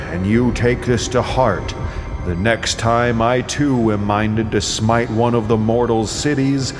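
A man playing a mighty, imperious king of gods and exasperated husband, speaking in a grand declamatory way in an epic audio drama. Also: on the low side. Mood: stern. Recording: long cavernous reverb, loud music behind.

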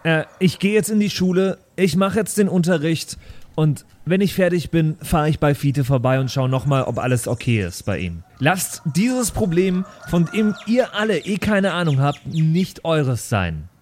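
A man's voice: a little high, big pitch swings, normal speed, darkish tone. A man improvising in-character in a role-playing game, earnest and often stammering over his words. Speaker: player in a pen and paper audio show.

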